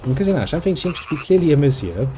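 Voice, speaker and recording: Guy reading game text out loud, dry sarcastic jokes, mellow voice, quiet game music behind. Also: fairly high pitch, very animated, very fast. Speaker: gameplay video host. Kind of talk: reading game dialogue aloud with joking commentary.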